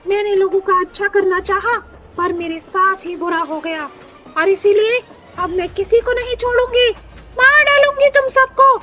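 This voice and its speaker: A woman's voice: very high voice, normal speed, bright, forceful tone. A woman voicing a vengeful, gloating witch ghost in a cartoon, with a theatrical, taunting delivery and cackles. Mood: vengeful fury. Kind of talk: exaggerated Hindi cartoon horror voice acting.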